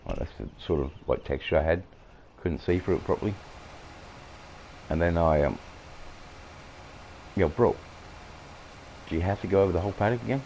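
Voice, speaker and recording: A man with an Australian accent, croaky from coughing, rambling through farming-game commentary with many halting fillers. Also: sits low, a little mellow, slight background noise, rough recording. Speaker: gameplay streamer.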